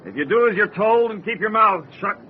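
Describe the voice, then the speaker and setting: An actor playing a stern, cruel ship's captain, giving clipped, threatening commands in an old-time radio horror drama. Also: male voice, sits high, expressive, brisk. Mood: cold authority. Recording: dull, faintly noisy radio recording.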